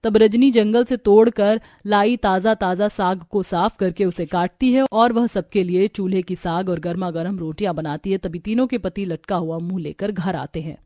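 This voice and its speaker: A woman's voice: medium pitch, normal speed, few pauses, warm tone, dry recording, clean studio sound. A woman narrating a scripted cartoon story.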